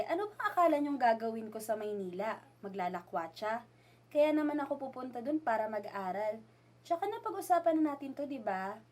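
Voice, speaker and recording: Woman playing an earnest young student, higher voice. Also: darkish tone. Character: earnest young provincial scholarship student, affectionate daughter. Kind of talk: amateur radio drama acting.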